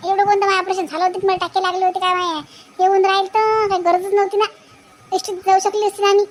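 A woman's voice: very high voice, little pitch movement, fast, voice pushed up. A woman voicing an anxious, grumbling mother in a cartoon, with a fretful, complaining manner. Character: grumbling, anxious mother just home from hospital.

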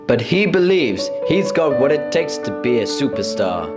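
Teen boy narrator, deadpan spoof voice-over, loud music underneath. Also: mid-range voice, moderate pace. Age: teens. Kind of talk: mock talent-show voice-over.

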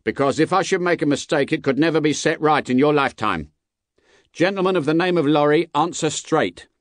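A man voicing a gruff, suspicious armed coach guard in an audiobook, with rustic speech and a threatening, blustering manner. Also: medium pitch, expressive, normal speed, no echo, voice pushed up, natural voice. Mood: stern warning. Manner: rustic speech, barking challenges. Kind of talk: dramatised audiobook character.

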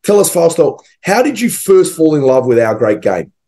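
A man's voice: on the high side, sing-song, moderate pace, warm tone. A man with an Australian accent hosting an interview over a video call, with frequent ums and restarts. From western suburbs of Melbourne, Australia. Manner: hesitant, with many ums and restarts. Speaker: podcast host. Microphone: video call, webcam.

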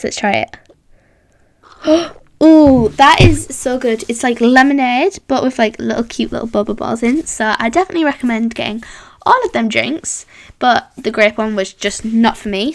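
A woman's voice: a little high, dry recording. A woman hosting a voiced roleplay vlog, bubbly and chatty, reacting with gasps and hums. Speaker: roleplay channel host.

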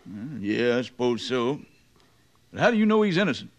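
A man's voice: mid-range voice, sing-song, normal speed. A man playing a mild frontier office clerk in an old-time radio western, folksy and tentative. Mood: doubtful.

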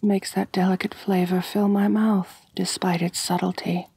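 A woman playing an elegant, doting, gently dominant vampire mistress in an intimate ASMR roleplay, coaxing in a soft, genteel voice close to the microphone. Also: medium pitch, level tone, dry recording. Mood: intimate, hungry. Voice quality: soft, breathy, husky. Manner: slow, coaxing, genteel, purring endearments. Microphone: close, dry microphone.

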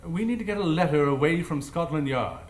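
A man plays a commanding gentleman detective in a radio play, with a fairly high, animated voice. He is clipped, formal and coolly logical, in a roomy space. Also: brisk. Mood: brisk, dry. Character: brisk, imperious, coolly logical male detective. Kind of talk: live radio drama acting. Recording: roomy live stage.

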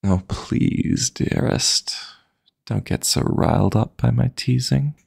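A man playing a possessive, teasing dream demon lover in intimate sleep-aid roleplay audio, coaxing softly and deliberately in a gravelly, breathy voice. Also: medium pitch, heavily acted.

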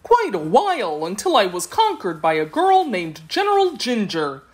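Give the storybook a straw-stuffed scarecrow man, acted out by a woman. He is cheerful and pleased with himself. He speaks eagerly, in a raised, lively voice. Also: medium pitch, moderate pace, voice pushed up. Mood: proud, cheerful.

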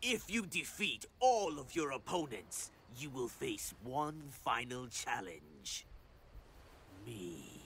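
An actor playing a sly, imperious old sorcerer who hosts a tournament in a video game, with theatrical, commanding menace. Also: male voice, mid-range voice, big pitch swings, slow pace, a bit bright, slight background noise. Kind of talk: video game cutscene acting.

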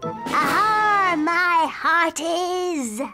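A woman playing a bossy, excitable little girl princess in a cartoon, with a childlike voice and dramatic, emphatic delivery. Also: extremely high pitch, very slow, over music, exaggerated voice. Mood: proudly playful.

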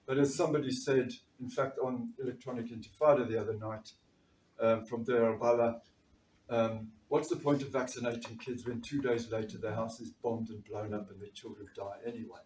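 A man's voice: medium pitch, fairly even intonation, fairly quick, a little mellow, slight background noise. A man in his sixties from South Africa with a South African accent, giving an earnest talk at a meeting and reading figures from notes with frequent restarts. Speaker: doctor and panel speaker. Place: bright meeting room, standing before a panel table. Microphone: far camera. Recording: echoey room from far off.

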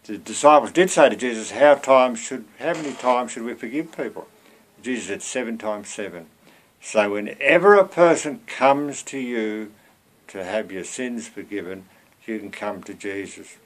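A man's voice: medium pitch, animated, normal speed. A man in his seventies giving an informal, earnest, conversational sermon to camera as a lay preacher, often turning to rhetorical questions, recorded at home on a computer mic. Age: 70s. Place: small cluttered room at home.

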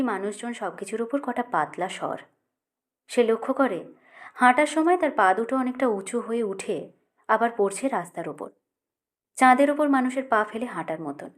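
A woman reading a literary short story as an audiobook narrator. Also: a little high.

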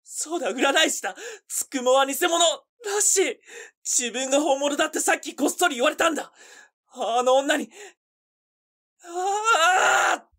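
An actress playing a frightened young villager in a voice drama, stammering and pleading. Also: female voice, sits high, expressive, unhurried, a bit bright, full character voice. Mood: desperate. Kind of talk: Japanese voice drama acting.

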